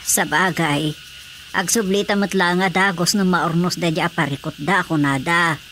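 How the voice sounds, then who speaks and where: An actress with an Ilocano accent playing an older wife in a radio drama. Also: female voice, fairly low pitch, fairly even intonation, moderate pace, fairly bright tone, a little hiss or hum, close to an everyday voice. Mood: conversational.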